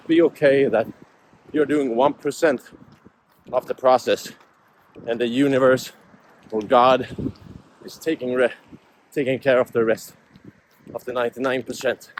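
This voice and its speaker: A man's voice: mid-range voice, slight background noise, rough recording. A man, a vlogger musing aloud in casual talk about life and goals. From Sweden. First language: Swedish. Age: 20s. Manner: reflective, musing, self-motivational. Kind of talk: casual vlog talk to camera.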